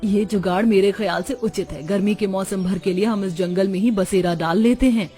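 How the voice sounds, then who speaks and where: A woman's voice: mid-range voice, moderate pace, warm tone, faint music behind, natural voice. Woman voicing a practical village daughter-in-law, cartoon. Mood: eager.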